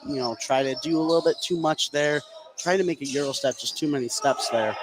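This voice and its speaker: Slightly high-voiced male basketball analyst. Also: fairly quick. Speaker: color commentator. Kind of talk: conversational basketball game analysis. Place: at courtside in an indoor gymnasium. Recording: live broadcast with faint gym noise.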